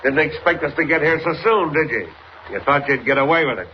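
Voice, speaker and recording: A man's voice: on the high side, lively intonation, fairly quick, lightly acted. An actor playing a suspicious American police sergeant in 1940s radio drama, blunt, clipped and colloquial in his questioning. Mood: suspicious, demanding. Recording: muffled, noisy vintage radio recording.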